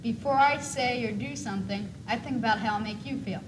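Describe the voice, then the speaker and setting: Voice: medium pitch, brisk, close to an everyday voice. A young teenage student playing a nervous, lovesick schoolboy in a school stage monologue. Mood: earnest, shy. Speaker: student actor in a school one-act play. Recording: noisy 1980s school video recording.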